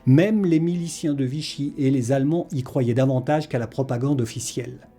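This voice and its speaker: A man's voice: medium pitch, moderate pace, warm tone, soft background music. A man reading a scripted historical documentary narration as its narrator.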